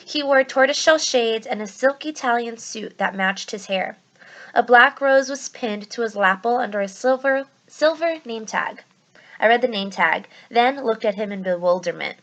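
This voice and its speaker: A woman teacher speaking to her students in an expressive read-aloud style. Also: on the high side.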